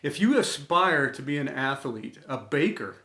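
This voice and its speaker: A middle-aged American man reading a scripted inspirational tribute in an earnest, reverent way, with deliberate phrasing and dramatic pauses. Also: on the high side, big pitch swings, vocal fry, sharp tone. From United States. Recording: echoey room sound.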